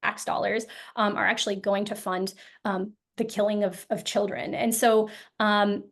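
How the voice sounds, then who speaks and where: A woman guest in a casual interview, speaking thoughtfully with many pauses, ums and restarts. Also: medium pitch, little pitch movement, gravelly. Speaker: guest, founder of an occupational therapy group.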